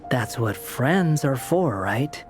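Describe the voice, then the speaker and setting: Snarky aloof young wanderer, video game, dry delivery, bit of music. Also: male voice, mid-range voice, expressive, takes it slowly. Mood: dismissive. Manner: dry, dismissive. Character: aloof, sardonic young male wanderer, secretly loyal friend.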